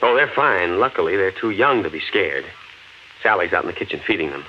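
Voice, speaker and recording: A man's voice: deep voice, animated, brisk. An actor playing a practical, skeptical, protective man in a 1950s radio thriller. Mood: matter-of-fact. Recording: noisy lo-fi 1950s radio recording.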